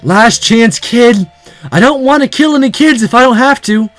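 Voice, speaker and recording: A man's voice: very high-pitched, normal speed, fairly bright tone, soft background music, voice pushed up. A man voicing a threatening, exasperated gangster thug with a gun in a visual novel read-aloud. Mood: menacing.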